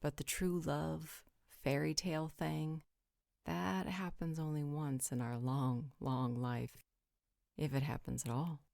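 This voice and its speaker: A composed, gently teasing vampire woman acted by a woman in a roleplay drama. Her voice sits low, with a soft dark tone. It is recorded very dry. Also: steady tone, slow, creaky, dry recording, heavily acted. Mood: calm, reassuring. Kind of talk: roleplay audio drama acting.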